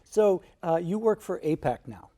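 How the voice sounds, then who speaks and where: A woman's voice: lowish voice, expressive, normal speed, warm tone. A woman hosting a conversational interview, asking short, friendly questions and dropping dry quips. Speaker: interview host.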